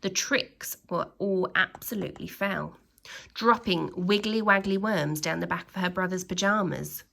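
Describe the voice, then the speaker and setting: A woman reads a storybook to young children in a bright voice with a creaky edge. Her pace is slow and deliberate. She performs it expressively, stressing dramatic words and turning to her listeners as a teacher would. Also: fairly low pitch. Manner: expressive, with dramatic emphasis and exclamations; pauses to address young listeners with questions. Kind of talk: animated storytelling read-aloud for young children.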